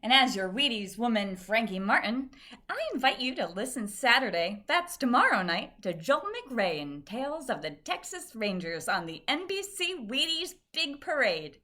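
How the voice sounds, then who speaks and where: Woman doing a chipper old-time radio ad lady, bright voice, swoops around a lot. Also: a little high, big pitch swings, normal speed. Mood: upbeat selling. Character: cheerful Wheaties woman, radio ad pitchwoman. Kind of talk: 1950s radio commercial acting.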